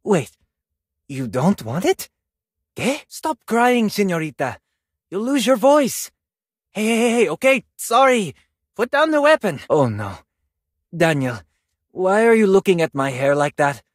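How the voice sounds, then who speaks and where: A man's voice: high-pitched, very animated, a little slow, long pauses, no echo, clean studio sound, full character voice. A man voicing a vain, graceful Spanish male ballet dancer for a video game, theatrical and self-admiring, with a Spanish accent. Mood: vain, playful. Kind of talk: video game character voice lines.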